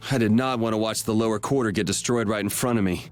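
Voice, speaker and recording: An actor voicing a steady young man in a game cutscene, speaking calmly and matter-of-factly. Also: lowish voice, fast, lightly acted. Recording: game audio with faint music.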